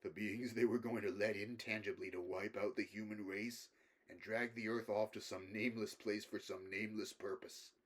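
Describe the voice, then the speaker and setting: Grave learned man, male reader in character, very deep, flat intonation, solemn careful delivery, horror storybook. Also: moderate pace, close to an everyday voice. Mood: grave, measured.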